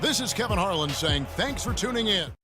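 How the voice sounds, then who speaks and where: A basketball play-by-play commentator with a fairly high voice, talking in a brisk, upbeat broadcast way. Loud music plays under him. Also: male voice, lively intonation.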